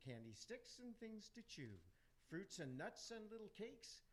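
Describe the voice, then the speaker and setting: A man's voice: high voice, very animated, a little slow. A man playing a scheming dead Roman emperor in an amateur rhymed radio play, theatrical and declamatory. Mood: gleefully wicked. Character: scheming dead Roman emperor Caligula in hell, gleefully wicked.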